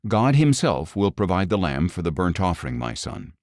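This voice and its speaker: A grave, gentle old patriarch speaks obediently in a deep voice , read by a male narrator. It is a dramatic audio Bible delivery, recorded dry and close. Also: very animated, moderate pace, dry recording. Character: faithful, grave, obedient old father Abraham.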